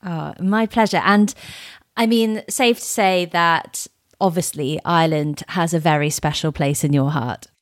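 A woman's voice: on the low side, unhurried, no echo, studio quality. A woman hosting a travel podcast, reading a polished intro and then interviewing her guest. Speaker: podcast host. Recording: faint music behind.

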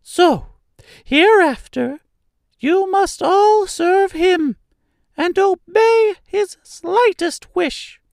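Man putting on a squeaky little mouse queen. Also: drawn-out words, creaky, no room sound, exaggerated voice. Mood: regal. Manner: imperious, emphatic. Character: tiny proud female field mouse queen, regal and grateful. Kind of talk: theatrical storybook character reading.

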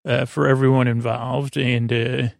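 A man hosting a bedtime podcast in a rambling, hesitant monologue, stammering and drifting off into asides with a gravelly creak in his voice. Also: medium pitch, flat delivery, measured pace, no echo, studio quality. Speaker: podcast host.

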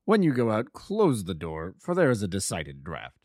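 A man plays a composed gentleman detective from a classic storybook. He is low-voiced and mellow, unflappable and quietly wry, speaking in a dry, close recording. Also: wildly swooping pitch, moderate pace, no echo. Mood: amused. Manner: bland, imperturbable, quietly wry. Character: calm, amused gentleman detective, male.

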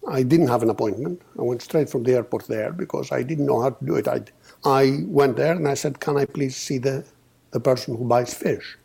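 A man in his fifties from Greece, a podcast guest telling his story with many pauses and restarts. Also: mid-range voice, vocal fry, warm tone, breathy edge. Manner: hesitant, many uhs and restarts. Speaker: podcast guest, company founder. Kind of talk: casual storytelling interview.

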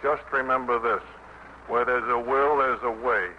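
Actor as a sardonic American GI, muffled broadcast. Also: male voice, medium pitch, fairly even intonation, moderate pace, lightly acted. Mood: mock-sympathetic. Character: American GI mockingly reading enemy propaganda aloud. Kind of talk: 1950s radio drama acting. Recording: muffled, noisy vintage radio broadcast.

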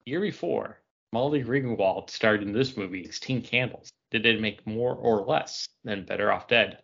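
A man's voice: lowish voice, normal speed, vocal fry, fairly bright tone, breathy edge. A man hosting a podcast trivia quiz in a casual, bantering style. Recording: dull lo-fi podcast audio.

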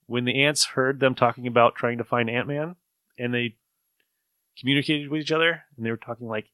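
A male podcast host in casual group chat. His voice is bright and mid-pitched. The sound is dry, with no room on it. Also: steady tone, brisk, no echo.

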